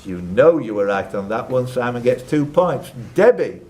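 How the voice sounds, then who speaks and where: A man's voice: mid-range voice, sing-song, normal speed, a little mellow. A man hosting a live quiz, reading out questions with jokey, teasing asides to the contestants. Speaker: quiz host and question reader.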